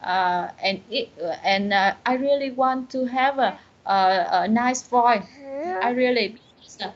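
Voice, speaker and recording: A woman with a Vietnamese accent speaks slowly and haltingly, reaching for English words and often restarting her sentences. Her voice sits fairly high, and the call audio sounds a little dull and lo-fi. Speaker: student. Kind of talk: online English conversation lesson.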